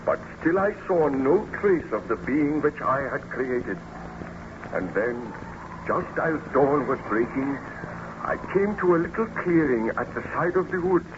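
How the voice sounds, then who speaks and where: An actor playing an anguished, guilt-ridden baron in an old-time radio drama, speaking in a formal, theatrical manner. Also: male voice, mid-range voice, sing-song, measured pace. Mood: grim, haunted recounting. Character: anguished, guilt-ridden young baron who made a monster. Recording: dull, noisy old radio recording.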